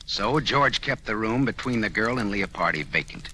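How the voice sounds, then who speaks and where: An actor playing a sardonic, slangy, tough private detective in an old-time radio drama. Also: male voice, fairly low pitch, lively intonation, moderate pace, sharp tone. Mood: accusing, sardonic. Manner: sardonic, slangy, hard-boiled delivery. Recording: noisy vintage radio recording.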